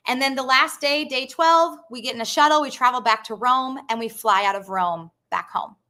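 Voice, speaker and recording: A young woman hosts a casual live stream about a group tour, speaking in a chatty, upbeat way and filling pauses with um. Her voice is bright and crisp and sits a little high. She reads out viewer comments and answers them warmly. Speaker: travel company host.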